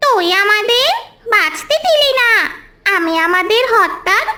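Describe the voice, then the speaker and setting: A woman's voice: very high voice, lively intonation, measured pace, sharp tone, heavily acted. A woman voicing a menacing, vengeful ghost witch mother in a cartoon story. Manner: menacing, taunting, scolding. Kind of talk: Bengali cartoon story voice acting.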